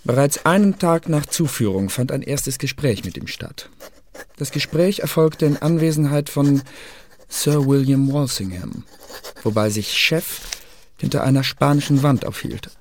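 A man's voice: mid-range voice, measured pace, slight background noise, close to an everyday voice. Actor as a dry, precise male records clerk. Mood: dry, dutiful. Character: dutiful, self-effacing male clerk keeping secret service records. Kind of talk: radio play acting, reading a report.

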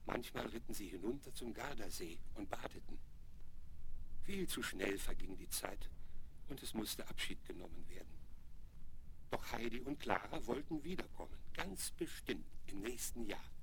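A man's voice: on the low side, lively intonation, normal speed, creaky. A man narrating a scripted children's story as the narrator of a radio play. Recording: noisy 1970s vinyl record rip.

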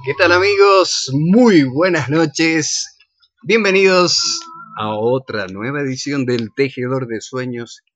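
A man's voice: on the high side, slow, a bit bright, dull audio, faint music behind. An Argentine man hosting a radio show with casual, teasing banter. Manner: jokey, teasing. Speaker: radio host and director. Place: radio studio.

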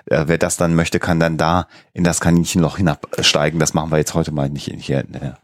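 Male co-host, very low pitch, dry sound, conversational podcast explainer. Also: little pitch movement, brisk, dry recording.

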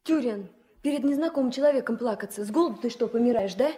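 A feisty young deckhand woman played by an actress with a high voice. She is bold and quick to scold. She sounds slightly off-mic in a vintage mono radio play. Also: warm tone, close to an everyday voice. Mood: scolding, mocking. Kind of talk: Soviet radio play acting.

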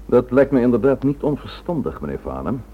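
A man playing a measured, formal and firm senior police commissioner in a radio drama. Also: medium pitch, very animated, breathy edge. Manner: formal, deliberate, authoritative. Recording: tinny, band-limited old radio recording.

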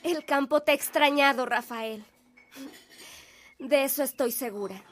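An actress playing an affectionate, welcoming sister with a Mexican accent, tender and gracious, in a radio drama. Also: female voice, high voice, measured pace, fairly bright tone, soft background music.

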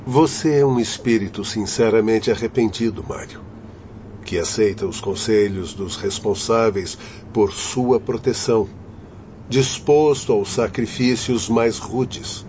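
A man acting a firm, patient doctor in a radio drama, speaking in a measured, formal, instructive way. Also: lowish voice, darkish tone, close to an everyday voice. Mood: admonishing. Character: firm, patient doctor guiding troubled spirits. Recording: dull, noisy lo-fi audio.

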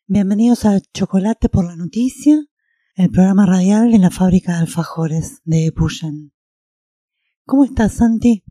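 An Argentine woman presents a radio show in a friendly, conversational way. Her voice is very dark and mellow, at a medium pitch, and recorded dry. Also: unhurried, dry recording.

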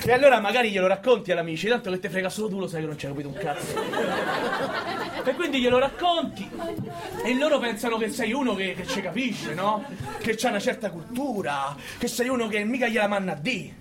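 A man's voice: high voice, animated, fast, a crowd in the background. A man playing a cynical, bullying prison guard in a radio monologue, with a Roman accent and a sardonic, goading manner full of rhetorical questions. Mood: scornful. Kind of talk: theatrical radio monologue in colloquial dialect.

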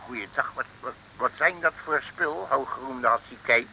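Bumbling sleuth posing as pompous mandarin, pidgin speech, piercing and gravelly, vintage radio comedy. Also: male voice, medium pitch, a little slow, sharp tone, slight background noise, full character voice, low-quality recording. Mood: flustered, muttering. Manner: mock-pompous broken pidgin speech, muttering asides. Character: bumbling male detective posing as a grand Eastern mandarin. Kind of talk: 1960s radio comedy serial acting.

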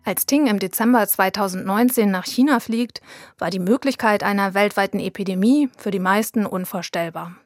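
A woman reporter giving scripted documentary narration in a calm, even, storytelling way. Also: medium pitch, normal speed, no echo. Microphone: studio mic.

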